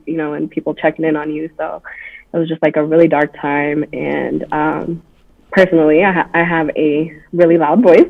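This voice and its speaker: A woman guest on a livestream, telling a personal story softly, with frequent pauses and fillers. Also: low-pitched. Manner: soft-spoken, halting, many fillers. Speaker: guest, campaign founder. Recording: tinny phone-line audio.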